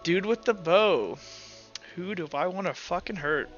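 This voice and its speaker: A man's voice: high-pitched, lively intonation, unhurried, lo-fi sound, over music. A man acting as game master in a casual, informal tabletop roleplay session over voice chat. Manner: informal, swearing. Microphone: online voice call.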